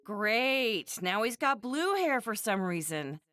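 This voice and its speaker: An exasperated anime housewife and mother with a high, swooping voice. She scolds and frets dramatically in the style of an English-dubbed video game, recorded very dry. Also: big pitch swings, slow, fairly bright tone, clean tone, no echo, full character voice. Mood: disapproving. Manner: fretful, scolding, dramatic complaining.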